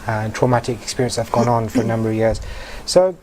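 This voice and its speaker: A man's voice: medium pitch, fast talker, background noise. A man hosting a talk show, interviewing guests in an informal, hesitant manner with many fillers.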